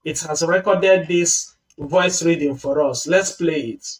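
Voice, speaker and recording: A man walks through a software tutorial one click at a time, in a calm and patient manner. His voice sits a little high for a man. He sounds some distance from the computer mic. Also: a little slow. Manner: step-by-step, patient explaining. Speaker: tutorial host. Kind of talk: screen-recorded software tutorial.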